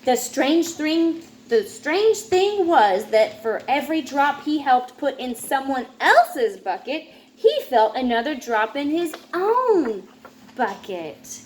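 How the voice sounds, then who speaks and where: A woman narrates a children's picture book in a high voice. She reads slowly and clearly paced for young listeners, and some noise sits behind her. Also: very animated. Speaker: storybook reader.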